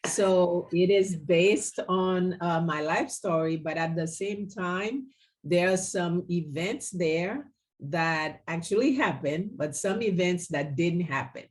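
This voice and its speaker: Low-voiced measured woman guest from Haiti. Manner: polite, measured, some fillers. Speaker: guest author. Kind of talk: interview answers.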